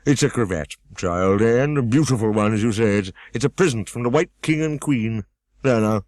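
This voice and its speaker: A man's voice: lowish voice, expressive, normal speed, a little mellow, no echo, studio quality, pitched above the performer's own voice, lightly acted. A man voicing a pompous, easily offended egg who sits on a wall and boasts grandly. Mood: lecturing.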